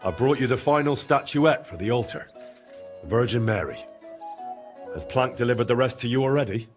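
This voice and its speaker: An actor playing a sensible, helpful adventurer in a video game, speaking in a frank, questioning and wry manner. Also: male voice, mid-range voice, animated, moderate pace, music in the background, lightly acted. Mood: relieved, kindly. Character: sensible, helpful young male adventurer with dry wit. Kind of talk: video game dialogue acting.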